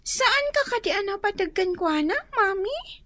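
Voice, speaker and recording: Casting: innocent, confused little child, extremely high, bright voice, Ilocano accent, radio drama. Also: female voice, animated, moderate pace, no echo, heavily acted. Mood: puzzled. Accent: Ilocano Filipino. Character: innocent, puzzled young child asking questions.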